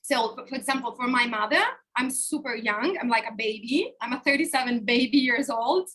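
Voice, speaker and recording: A woman's voice: on the high side, fairly quick, husky, low-quality recording. A woman in her thirties, a life coach, speaking openly and expressively to a live online workshop from a hotel room over a webcam. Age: 30s. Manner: expressive, open and personal.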